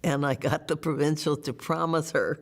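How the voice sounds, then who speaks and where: An eighty-year-old nun tells stories from her youth with wry humor. Her voice is low and gravelly. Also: normal speed. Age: 80s. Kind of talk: oral history interview.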